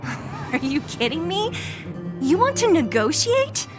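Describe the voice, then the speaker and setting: A woman's voice: very high voice, very animated, slow pace, full character voice. A woman voicing a brash, guarded young woman from a slum town in a video game, with a blunt, sardonic delivery. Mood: exasperated. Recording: music under the voice.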